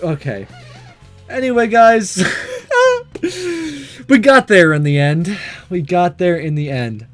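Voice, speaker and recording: Brief: let's play host, male, lively swinging delivery, jokey and laughing, light music bed. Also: fairly high pitch. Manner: animated, laughing, jokey asides. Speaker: gaming video host. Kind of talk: let's play narration and commentary.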